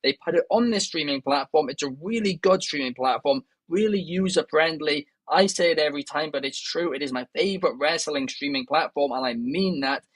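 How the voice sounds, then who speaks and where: A man's voice: a little high, brisk, hardly any pauses, a bit bright, no echo. A young Welsh man hosting a casual wrestling news podcast, chatty and enthusiastic, turning to the viewers often. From Wales. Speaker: podcast host.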